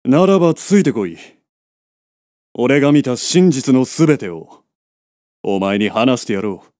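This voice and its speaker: A man's voice: mid-range voice, lively intonation, measured pace, a little mellow, dry recording, clean studio sound, lightly acted. Man acting grim, commanding wolf ex-commander. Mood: grave, commanding. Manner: curt, weighty, commanding. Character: grim, battle-worn male beastman wolf ex-commander. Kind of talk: dramatic audio drama acting.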